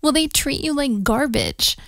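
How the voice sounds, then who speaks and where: A woman playing a needy, affectionate young girlfriend in an intimate ASMR roleplay, teasing and breathy, often slipping toward a whisper. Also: sits high, huge pitch swings, a bit bright, no room sound, studio quality, full character voice. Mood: tender, earnest. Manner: slow, teasing, affectionate, giggly. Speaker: audio roleplay performer. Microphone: close microphone, dry sound.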